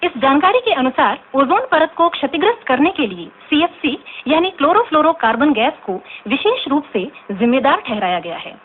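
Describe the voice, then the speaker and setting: Radio drama report: formal Indian female correspondent, high animated voice, expository delivery. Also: a little fast, few pauses. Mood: explanatory. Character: female radio science reporter explaining facts. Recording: tinny, noisy phone-like radio recording.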